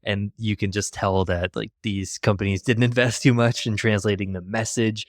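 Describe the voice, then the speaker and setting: Casting: Canadian male podcast host, French native speaker, very low voice, dry close sound. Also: normal speed, no echo. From Canada, Ontario. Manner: relaxed, fillers like "like", easy laughs. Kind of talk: casual podcast interview.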